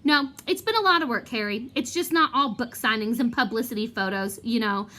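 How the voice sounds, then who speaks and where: A woman's voice: a little high, a little fast, sharp tone. A woman voicing a vain, cowardly male wizard professor with a pompous, hesitant manner in a storybook reading. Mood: pompous, boastful. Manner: pompous, hesitant, self-important.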